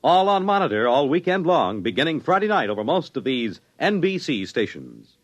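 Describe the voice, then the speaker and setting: A man announcing a 1950s radio broadcast in a booming, emphatic way. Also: sing-song, normal speed.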